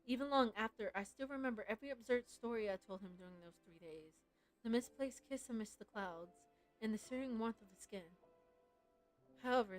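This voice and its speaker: A woman with a fairly high voice streams a story game. She is playful and teasing, moving between casual chat and reading lines aloud. Light music plays behind her. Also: low-quality recording.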